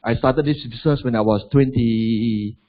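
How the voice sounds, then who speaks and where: A man's voice: medium pitch, moderate pace, muffled recording. A man in his forties with a Malaysian English accent, giving a chatty seminar talk and throwing rhetorical questions at the audience. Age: 40s. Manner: chatty, asks the crowd rhetorical questions, adds "lah". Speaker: motivational business speaker.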